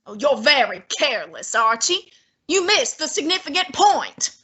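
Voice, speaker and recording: A woman's voice: high-pitched, very animated, normal speed, pushed and bright, exaggerated voice. A woman playing a pompous, heavyset older male detective in an old-time radio drama, speaking with haughty, fussy, lofty diction. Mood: imperious.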